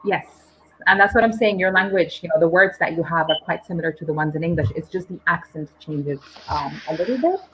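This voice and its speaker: A woman hosting a live online English session, explaining patiently with frequent pauses and ums. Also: lowish voice, breathy edge, rough recording.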